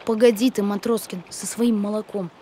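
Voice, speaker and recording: A woman's voice: mid-range voice, steady tone, normal speed, warm tone, slight background noise, lightly acted. A woman voicing a sensible, serious young boy in a cartoon, earnest and bookish. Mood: worried, firm. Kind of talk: animated cartoon acting. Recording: music behind the voice.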